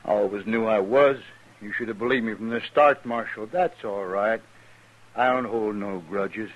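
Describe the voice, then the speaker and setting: An actor playing a cocky, greedy, callous frontier prisoner with an American Western accent in an old-time radio drama. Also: male voice, fairly low pitch, moderate pace, highs cut off, close to an everyday voice. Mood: cocky, gloating. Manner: brash, gloating. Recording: noisy vintage radio recording.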